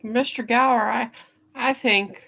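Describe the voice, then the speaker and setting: Woman playing an earnest, pleading, high-voiced young boy. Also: steady tone, a little slow, vocal fry, bright, forceful tone, heavily acted. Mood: nervous, dutiful. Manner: stammering, pleading. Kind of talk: hammed-up amateur radio play reading.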